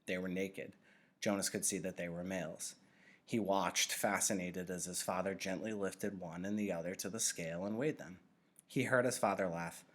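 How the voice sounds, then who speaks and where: A man with a low-pitched voice reads a novel aloud. He narrates it as a storybook, in the role of a teacher reading to students. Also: fairly even intonation, moderate pace.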